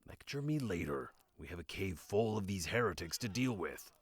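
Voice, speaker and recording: Man as a low-key, confident warrior cleric. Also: sing-song, moderate pace, breathy edge, no room sound, heavily acted. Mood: calm confidence. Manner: low-key, self-assured. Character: brash, confident male warrior cleric, quietly unflappable. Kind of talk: fantasy audio drama acting.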